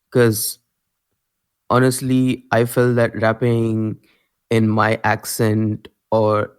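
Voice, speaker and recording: A young man with an Indian accent, a musician guest talking casually in an interview, relaxed and quick to laugh. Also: lowish voice. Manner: relaxed, laughs easily, loose filler-heavy phrasing.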